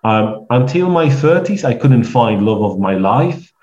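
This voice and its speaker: A man with a Polish accent, a guest in an interview, telling stories in a halting way with many restarts. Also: medium pitch, very animated, a little mellow. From Poland. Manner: rambling, halting storytelling with frequent restarts. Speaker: guest, networking coach. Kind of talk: conversational podcast interview. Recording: echoey, dull lo-fi sound.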